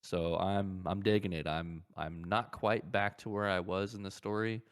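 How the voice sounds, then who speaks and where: A man's voice: low-pitched, steady tone, normal speed, smooth. A man hosting a podcast, chatting casually about video games and often circling back to repeat his phrases. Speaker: podcast host.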